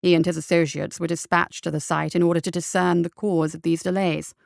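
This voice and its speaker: Woman reading as a weary, creaky-voiced private investigator. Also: fairly low pitch, level tone, dry recording, studio quality. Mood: sombre. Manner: formal, literary, sombre and measured. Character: weary, haunted private investigator writing home to his wife. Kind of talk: audio drama letter narration.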